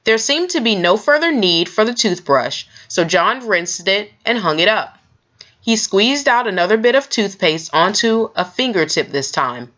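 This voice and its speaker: Woman reading a kids book out loud, really animated, bit of a dull lofi sound. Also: mid-range voice, normal speed. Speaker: storyteller reading a children's book aloud. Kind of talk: expressive scripted narration.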